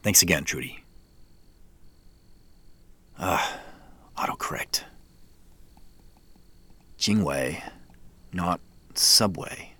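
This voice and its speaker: Slow, very low-voiced tired businessman. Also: sharp tone, husky, heavily acted. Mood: mildly annoyed. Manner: measured, pausing dictation. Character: tired businessman dictating text messages to his phone. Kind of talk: naturalistic audio drama acting.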